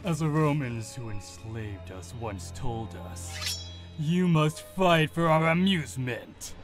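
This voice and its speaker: A man's voice: on the high side, expressive, takes it slowly. A man playing a menacing, imperious spirit of a conquered Norse people in a comic dub, solemn and commanding, with archaic phrasing. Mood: solemn menace. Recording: loud music over the voice. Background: loud music.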